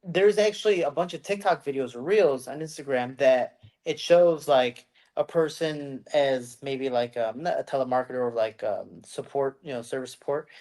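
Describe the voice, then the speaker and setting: A man's voice: mid-range voice, normal speed, a little mellow. An American man chatting as a podcast co-host. He rambles and tells stories with animation, with a voice that sits in the middle of the range. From United States. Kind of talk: casual chat.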